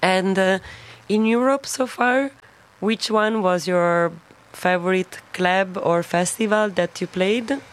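An Italian-accented woman hosts a relaxed radio interview in English. Her voice sits at a middling pitch, and she keeps the talk moving with fillers and quick affirmations. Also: clean tone. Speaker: radio host. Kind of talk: casual interview.

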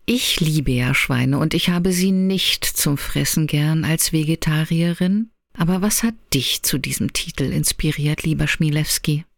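A woman from Berlin interviewing a singer in a playful, flattering, slightly theatrical way. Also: deep voice, measured pace, vocal fry, few pauses. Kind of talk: interview questions.

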